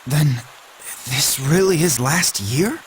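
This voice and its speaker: A man's voice: a little high, animated, slow pace, a little hiss or hum. A man voicing a bewildered teenage boy in an English dub of an anime video game. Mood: puzzled, urgent.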